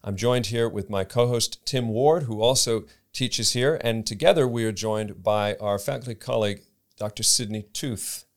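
A man hosting a podcast interview, measured and welcoming as he introduces guests and asks questions. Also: fairly low pitch. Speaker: podcast host.

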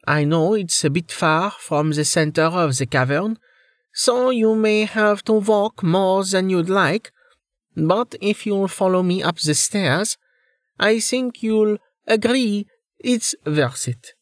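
A man voicing a chatty, composed male realtor giving a professional house-tour pitch in an audiobook. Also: slow pace, no room sound, clean studio sound. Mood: upbeat sales patter. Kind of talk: audiobook character dialogue.

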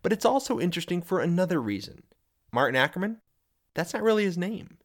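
A man who hosts the show, narrating a true story in a measured, scripted way that builds suspense. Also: high voice, very animated. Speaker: host and narrator.

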